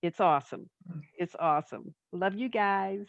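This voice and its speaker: A woman offering earnest congratulations on a video call, deliberate and repeating phrases for emphasis. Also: mid-range voice, slight background noise. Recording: dull, roomy video call audio.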